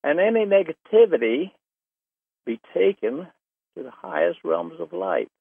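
A man leading a spoken invocation in a slow, solemn, measured way. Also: fairly high pitch, sing-song, creaky, breathy edge, no echo. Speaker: invocation leader. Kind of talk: spoken prayer. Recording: dry tinny phone line.

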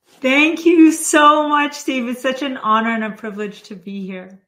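Woman guest from Canada on a remote call; bright, fairly high voice; laughing storyteller with lots of fillers. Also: steady tone, unhurried. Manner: warm storyteller, laughs often, many fillers. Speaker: guest, financial planner and author. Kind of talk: podcast interview.

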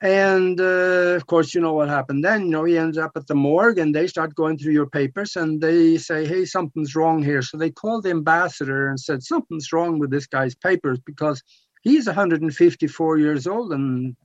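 A man's voice: fairly high pitch, moderate pace, no room sound. Dull-sounding older Norwegian man on radio. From Norway. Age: 70s. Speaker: talk radio guest. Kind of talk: explanatory radio interview talk. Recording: dull lo-fi talk radio audio.

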